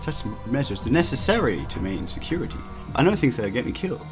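A man's voice: medium pitch, sing-song, quick pace, over music, natural voice. A man voicing a paranoid, grandiose young mad scientist in a visual novel, in a pompous, melodramatic manner. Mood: smug, secretive.

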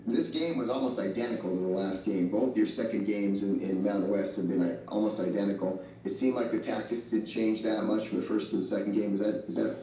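A man's voice: fairly low pitch, steady tone, fairly quick, hardly any pauses, mellow, slight background noise. A man, a sports reporter, asking long, probing questions at a press conference. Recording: echoey room, far from the mic.

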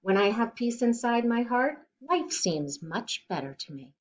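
A middle-aged woman reading a children's picture book aloud in an expressive, deliberate way, recorded indoors at home. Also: on the high side, lo-fi sound. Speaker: children's storybook reader. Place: living room at home, indoors.

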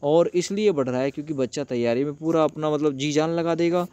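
A man's voice: fairly high pitch, fairly quick, dark tone, dry recording. Man giving informal explainer, dry close sound. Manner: repeats phrases for emphasis, addresses viewers familiarly. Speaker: host of an exam update channel. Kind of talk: informal explainer talk to viewers about exam news.

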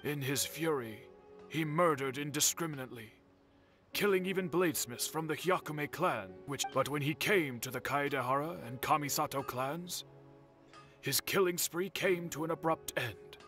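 A solemn old storyteller. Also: male voice, a little high, normal speed, bright, forceful tone. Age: older. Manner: grave, solemn, sighing. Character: elderly male storyteller, grave, solemn. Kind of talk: video game dialogue. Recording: faint game music behind.

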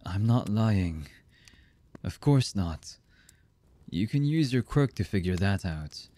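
Guy voicing a young anime villain boyfriend, low husky voice, super slow n hushed, guarded, wounded, asmr style. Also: expressive. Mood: tender, awkward. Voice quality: husky, breathy. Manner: slow, halting, hushed delivery with long pauses. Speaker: voice actor.

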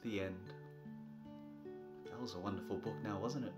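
A man in his thirties doing a comedic, theatrical storybook reading, moving between a soft bedtime tone and exasperated delivery. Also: lowish voice, steady tone, moderate pace, loud music behind the voice. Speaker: presenter reading a picture book. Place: small furnished nursery room indoors.

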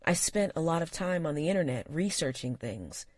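A narrator voicing an anxious young woman who talks in a quick, nervous, earnest way. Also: medium pitch, lively intonation, darkish tone, no echo, studio quality.

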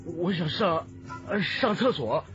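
Scared young informant, high voice, nervous stammer, radio drama, muffled sound, music behind. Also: male voice, lively intonation, measured pace, a bit bright. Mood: frightened, stammering. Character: timid, frightened, stammering young male police informant, unmarried. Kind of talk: Chinese radio crime drama acting. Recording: muffled band-limited audio with music behind.

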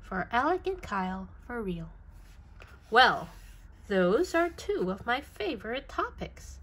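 A woman reading a children's book aloud in an expressive storytelling style. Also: fairly high pitch, slow, slightly rough.

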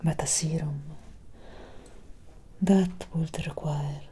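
A woman playing a centuries-old vampire doctor in a soft-spoken ASMR roleplay, speaking in a solemn, measured, breathy whisper. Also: low voice, fairly even intonation, heavily acted. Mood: solemn, reassuring. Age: young adult. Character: centuries-old female vampire doctor, grave, gently caring.

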